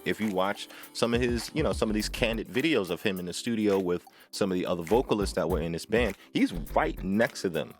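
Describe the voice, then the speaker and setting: A man's voice: lowish voice, a little fast, hardly any pauses. A man giving an informal music tutorial, talking emphatically, repeating phrases and often checking in with a short questioning tag. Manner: emphatic, repeats phrases, seeks agreement with "right?". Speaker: music tutorial host, talkbox player. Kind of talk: informal instructional talk to camera.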